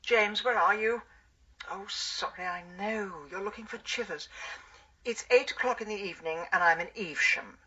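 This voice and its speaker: An English actress playing a brisk, imperious middle-aged woman detective in a British radio drama. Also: mid-range voice, pushed and bright, husky, lo-fi sound. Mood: urgent.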